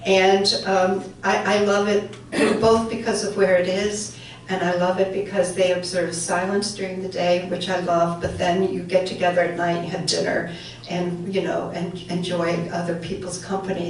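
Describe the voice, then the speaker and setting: Older woman poet, Welsh first language, halting chatty intros, heard from far away in a very echoey hall. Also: on the low side, slight background noise. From born in England, raised in central New York. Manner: chatty and hesitant between poems, slow and soft when reading poems. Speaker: poet reading at a society luncheon. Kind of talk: poetry reading with spoken introductions. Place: banquet hall.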